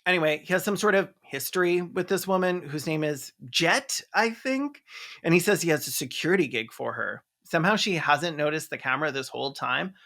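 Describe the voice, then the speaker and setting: A reviewer with a mid-pitched voice delivers comedic film commentary. The delivery is sarcastic and peppered with swearing, like a video essayist picking a movie apart. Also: expressive, brisk, fairly bright tone.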